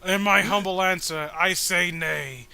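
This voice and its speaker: A man's voice: high-pitched, unhurried, gravelly, bright, forceful tone, clean tone, exaggerated voice. A man voicing a pompous, indignant patriotic comic hero, delivering stumbling, grand oratory.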